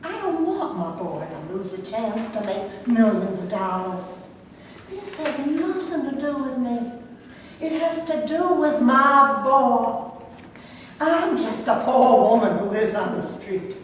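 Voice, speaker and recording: A brash, wheedling birth mother, played by a woman with a high voice and a slow, deliberate delivery. She pleads and insists in turn. The recording has long echo from far back in a hall, with faint noise. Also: expressive. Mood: pleading. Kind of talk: staged play reading. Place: theater stage.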